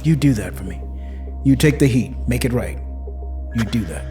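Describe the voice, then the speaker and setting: Man playing a desperate, cornered man, animated pitch. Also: normal speed, soft background music, close to an everyday voice. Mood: wary, hopeful. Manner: blunt, disbelieving, pleading. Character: desperate guilty man, skeptical, rough-spoken, cornered. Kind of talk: audio drama acting.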